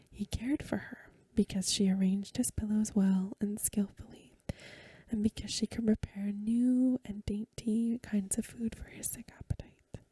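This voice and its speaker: A woman reading a story aloud as soft-spoken ASMR, in a gentle, hushed, whispery voice close to the microphone. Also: medium pitch, slightly rough, dry recording. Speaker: ASMR host.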